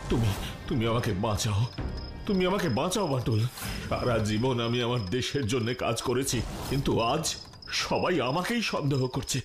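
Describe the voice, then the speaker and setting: A man's voice: mid-range voice, animated, normal speed, long pauses, natural voice. A man voicing an agitated, pleading scientist in a cartoon, often repeating himself. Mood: distressed, pleading. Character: distressed, pleading male missile scientist. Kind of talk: cartoon voice acting. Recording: loud music behind the voice.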